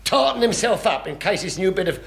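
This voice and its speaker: An actor playing a deferential but dryly sardonic naval chief petty officer in a radio sitcom, with an echoey sound. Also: male voice, high voice, lively intonation, brisk, vocal fry, fairly bright tone, exaggerated voice. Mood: dry, exasperated. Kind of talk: British radio sitcom acting.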